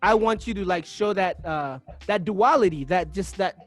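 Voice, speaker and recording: Man raised in Uganda, podcast host, higher than usual register; reflective and halting, restarting his thoughts as he goes. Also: faint music behind. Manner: thoughtful, searches for words, many restarts. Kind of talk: casual interview chat.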